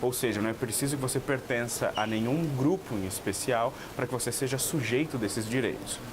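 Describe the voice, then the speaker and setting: A man's voice: mid-range voice, fairly quick, over loud music, rough recording. A man giving an academic explanation in an interview, lecturing with hesitant fillers. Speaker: expert interviewee.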